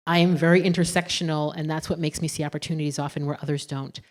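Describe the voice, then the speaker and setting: A woman on a panel stage, talking into a handheld mic in a ballroom. Her voice sits low, and she is animated, slipping into quoted voices to make her points. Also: fast. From Germany. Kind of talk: panel discussion talk.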